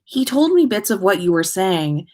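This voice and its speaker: A woman's voice: medium pitch, sing-song, moderate pace, darkish tone. A woman voicing an earnest, easily put-out schoolboy wizard hero with a hesitant, grumbling manner, in a storybook reading. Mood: tactful, hesitant. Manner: hesitant, grumbling, indignant when slighted.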